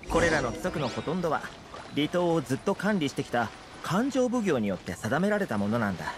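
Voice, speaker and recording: A man's voice: on the high side, sing-song, a little fast, noisy background. A man voicing a friendly, easygoing young guide in an anime game, explaining things in a casual, affable way. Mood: explanatory. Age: young adult.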